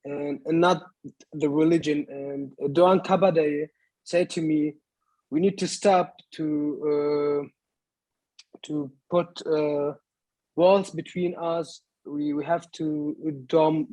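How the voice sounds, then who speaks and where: A man in his twenties from Lebanon, an actor speaking in a panel conversation in halting English, often repeating words as he searches for them. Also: a little high, steady tone, warm tone, no room sound, rough recording. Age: 20s.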